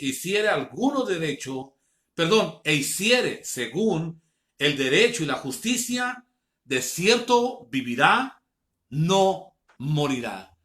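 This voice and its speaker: A man, preaching an online Bible class, earnestly calling his listeners brothers and slowing down to read scripture. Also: sits high, a bit bright. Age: 50s.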